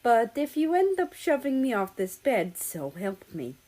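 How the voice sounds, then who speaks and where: A woman's voice: fairly high pitch, very animated, unhurried, dark tone, pitched above the performer's own voice. A woman voicing a smug, playful male travel companion in dialogue from a story reading. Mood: playfully wary.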